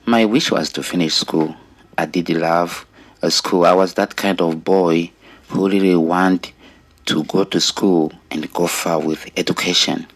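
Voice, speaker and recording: Man, forties, Rwandan accent, deep and unhurried, earnest testimony delivery. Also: steady tone. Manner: earnest, deliberate, with pauses between short phrases. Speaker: storyteller sharing own life story. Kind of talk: personal testimony.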